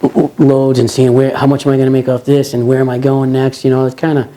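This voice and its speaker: Mellow-voiced man with a Boston accent. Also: medium pitch, fast. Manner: relaxed, rambling, laughs easily, lots of "you know". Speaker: truck driver. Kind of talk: casual interview chat. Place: office lounge, indoors.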